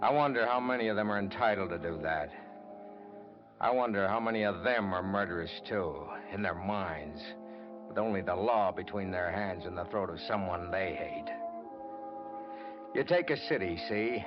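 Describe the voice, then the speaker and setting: A brooding, hardboiled American newspaperman played by a male actor in a vintage radio noir. He is bitter and dramatic, with clipped phrasing. The old recording is muffled, with loud music behind the voice. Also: sits low, normal speed, natural voice. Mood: challenging, intense. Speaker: radio drama lead and narrator.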